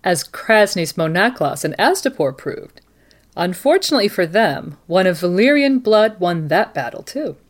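A woman hosting a scripted mock news broadcast, presenting and interviewing in a measured, formal way. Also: fairly low pitch, very animated. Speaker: podcast host playing a live news anchor.

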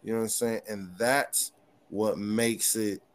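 A man's voice: on the low side, little pitch movement, a little slow. A man with a thin voice chatting as a guest on a podcast, rambling and often restarting his sentences. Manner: rambling, with frequent restarts and trailing thoughts. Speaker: guest hip hop artist. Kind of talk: casual podcast conversation.

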